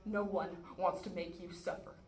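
A woman playing a guarded, exasperated sister in a stage scene, halting at times and sharp at others. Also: fairly low pitch, level tone, close to an everyday voice. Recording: echoey room, faint background noise.

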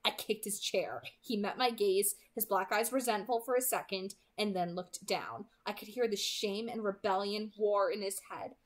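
A woman's voice: medium pitch, moderate pace, few pauses. A woman in her twenties reading a novel aloud at home, expressively, as an amateur who sometimes stumbles and corrects herself. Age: 20s. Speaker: amateur book reader. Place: small room at home, indoors.

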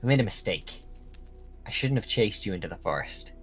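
An earnest young werewolf student, played by a man, talks in a lowish voice with a casual, slightly hesitant delivery. He carries some guilt, in a Minecraft roleplay drama with music behind him. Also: expressive, fairly quick, fairly bright tone. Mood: uneasy, regretful.

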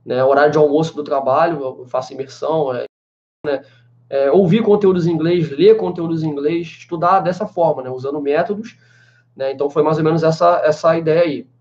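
A man's voice: fairly high pitch, fairly even intonation, a little fast, darkish tone. A man in his twenties, a language teacher from Brazil, chatting casually while he answers live-stream questions, full of fillers. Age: 20s. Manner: chatty, many fillers like "né" and "cara". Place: small room indoors with a banner behind. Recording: slightly dull lo-fi webcam sound.

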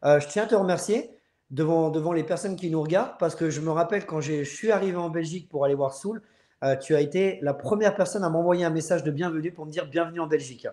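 Casting: male host, 30s, fairly high voice, earnest and halting, casual live chat from a very roomy-sounding space. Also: darkish tone. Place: room at home. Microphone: earphone mic on video call. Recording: roomy, echoing video-call audio.

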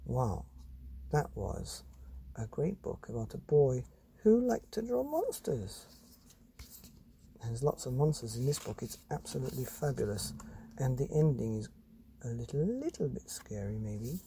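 A man's voice: mid-range voice, big pitch swings, a little slow, creaky, long pauses, warm tone, a little hiss or hum. A man reading a children's bedtime story expressively as a storyteller.

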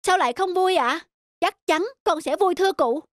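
A woman's voice: very high-pitched, moderate pace, no room sound, clean studio sound. A woman voicing an earnest, humble young peasant man, polite and hesitant, in a cartoon fairy tale. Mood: eager, polite.

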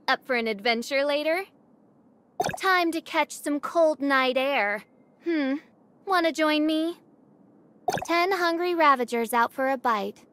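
High young female game character, slow drawl, teasing, bored troublemaker, clear background noise. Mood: languid, playful, then playful, teasing. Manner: teasing, languid. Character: mischievous, bored young female gunslinger who likes trouble. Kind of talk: video game character voice lines.

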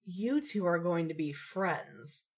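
A woman's voice: on the low side, lively intonation, slow pace, darkish tone, breathy edge, exaggerated voice. A woman playing a composed, dry-witted young woman, precise and arch, in a fan fiction podcast. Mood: dry, exasperated.

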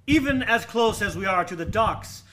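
A guilty killer in a radio drama, trying to hide his crime. His high-pitched voice is sharp and agitated, with defensive theatrical delivery in a roomy, echoing space. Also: animated, moderate pace. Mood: uneasy, defensive. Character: guilt-ridden nervous murderer hiding his crime from police. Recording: roomy echo with faint noise.

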